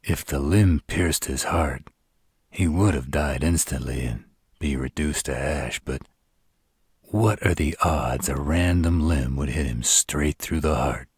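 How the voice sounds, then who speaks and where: A man voicing an arrogant, brooding male vampire in a scripted audio roleplay, with slow, dramatic delivery and a rough voice. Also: no echo, studio quality, full character voice. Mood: suspicious. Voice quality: very deep, rough husky voice. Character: arrogant male vampires plotting, grave and cocky.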